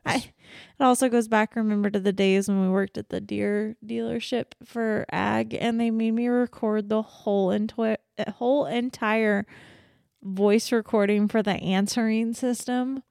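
A woman co-hosting a podcast in easy, casual chat, blunt and quick to swear and laugh. Her voice has a gravelly, creaky edge, and the sound is dry and close with no room echo. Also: medium pitch, steady tone, darkish tone. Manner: blunt, profane, laughs often. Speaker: podcast co-host.

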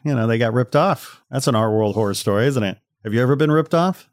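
A man's voice: medium pitch, lively intonation, quick pace, no echo, clean studio sound. A man hosting a podcast in a casual, wry, conversational way, speaking straight to the listeners. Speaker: podcast host.

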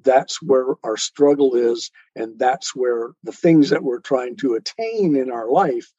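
A man explaining his ideas in a measured, didactic way, building each point step by step, on a slightly dull recording. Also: medium pitch, warm tone, slightly rough, no echo. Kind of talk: explanatory talk on spirituality.

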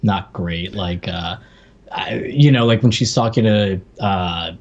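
Man, podcast co-host, husky voice, casual discussion, dull lo-fi recording. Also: low voice, slow. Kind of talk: casual TV review chat. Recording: dull lo-fi microphone sound.

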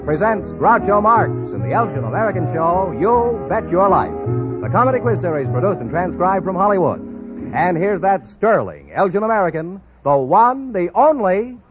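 A man's voice: sits high, big pitch swings, moderate pace. A man announcing a 1940s radio show in formal, declamatory scripted style. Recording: muffled old radio recording with music. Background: music.

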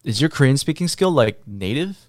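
A man's voice: medium pitch, very animated, fairly quick, no echo, clean studio sound. A man hosting a podcast, introducing the episode and asking questions in an easygoing, encouraging way. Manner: easygoing, encouraging with short backchannels. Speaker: podcast host. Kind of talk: casual interview.

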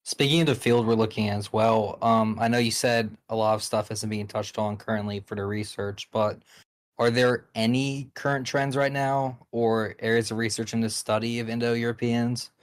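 A man with a mid-range voice co-hosts a casual podcast. He asks questions and tells stories in a jokey, animated way. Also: mid-range voice, normal speed. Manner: jokey, animated storyteller who laughs at his own jokes. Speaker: podcast co-host.

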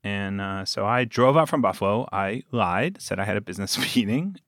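A man's voice: fairly low pitch, expressive, moderate pace, fairly bright tone, no room sound. Jokey man telling stories in a dry-sounding room. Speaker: podcast producer, guest. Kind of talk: casual storytelling chat.

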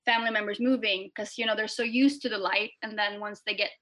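A woman's voice: medium pitch, steady tone, fast, bright, forceful tone. A young woman from Norway, a guest speaking casually over a video call, hesitant with many fillers and restarts. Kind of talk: casual interview chat.

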